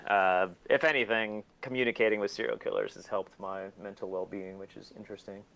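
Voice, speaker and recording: A man's voice: fairly low pitch, steady tone, brisk, vocal fry, fairly bright tone, poor audio. A man chatting casually as an interview guest, candid, profane and self-deprecating, telling rambling stories and acting out quotes. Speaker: guest, collector.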